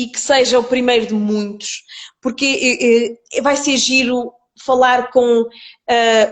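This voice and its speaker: A woman with a Coimbra Portuguese accent tinged with Porto and Terceira, speaking thoughtfully as an interview guest, with many pauses and repeated words. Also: on the high side. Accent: Coimbra Portuguese mixed with Porto and Terceira. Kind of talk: reflective conversational interview.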